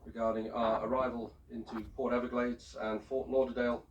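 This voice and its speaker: A man speaking as a ship's captain, giving a formal, measured and courteous announcement over a public address system. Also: mid-range voice, little pitch movement, highs cut off, slight background noise. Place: aboard a cruise ship, heard over the public address system. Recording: distant shipboard PA speaker, picked up from afar.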